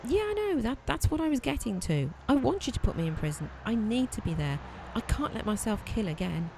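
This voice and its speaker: Someone playing a creaky, animated, tormented killer maid. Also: medium pitch, a little fast, noisy background. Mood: pleading. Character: murderous maid, intense, conflicted confessing killer. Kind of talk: amateur audio comedy drama.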